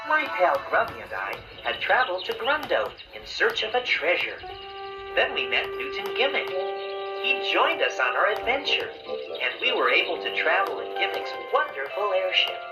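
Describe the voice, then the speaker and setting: A man's voice: high-pitched, wildly swooping pitch, normal speed. A man playing a friendly, polite young adventurer who narrates a scripted children's story. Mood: warm storytelling. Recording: roomy, distant toy playback with music.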